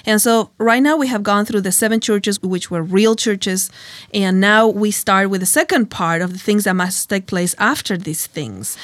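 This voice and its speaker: A woman with a Spanish accent co-hosting a Bible teaching show, talking in a lively, chatty way with frequent fillers and laughter. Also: medium pitch, normal speed, no echo. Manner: animated storyteller, laughs often, many fillers. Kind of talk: conversational Bible study chat.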